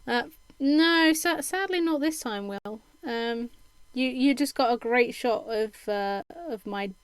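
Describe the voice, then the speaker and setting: A young woman, talking slowly and hesitantly. Her throat is slightly croaky and her voice sits a little high. She fills the gaps with ums as she chats casually over a desk mic at home. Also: no echo. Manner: hesitant, lots of ums, self-deprecating laughs. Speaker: streamer. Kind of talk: casual chat while sewing. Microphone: desk microphone.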